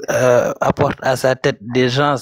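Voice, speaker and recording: Slow insistent Senegalese caller. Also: male voice, medium pitch, flat delivery, dry recording. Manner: slow, insistent, repeats words when interrupted. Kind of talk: heated debate.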